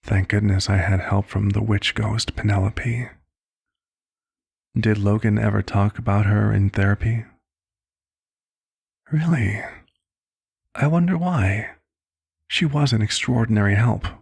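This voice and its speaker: Man playing a gravelly, compassionate vampire doctor. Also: lowish voice, exaggerated voice. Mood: grave, tender, then warm, encouraging. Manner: measured, formal, hushed storytelling. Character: compassionate old vampire doctor, fatherly, weary, gently persuasive. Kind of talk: ASMR roleplay monologue.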